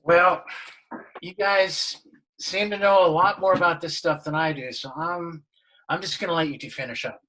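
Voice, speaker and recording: A man's voice: fairly high pitch, fairly even intonation, normal speed, pushed and bright, rough recording. A middle-aged man playing a mild, puzzled, well-meaning suburban dad in a sitcom table read over video call.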